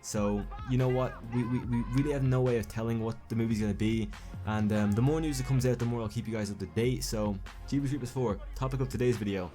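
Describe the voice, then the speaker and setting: A young man talks quickly into a home mic, his voice dark and mellow. He rambles and stumbles through his commentary, restarting phrases and correcting himself as he goes. Faint music plays underneath. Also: medium pitch. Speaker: YouTube film commentator. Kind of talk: casual video commentary. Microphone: home recording mic.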